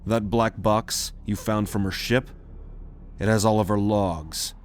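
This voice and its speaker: A man's voice: on the low side, fairly even intonation, measured pace, a little hiss or hum, natural voice. A man playing a cocky, commanding alien rogue with a sardonic manner in an ASMR roleplay audio drama. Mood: serious, persuasive. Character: cocky male alien rogue, bold leader on a mission.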